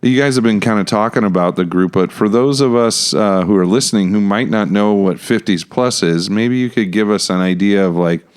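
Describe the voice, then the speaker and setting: A man's voice: lowish voice, a little fast, few pauses, smooth. A man with an American accent hosting a casual podcast conversation in an informal, conversational way. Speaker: podcast host, pastor.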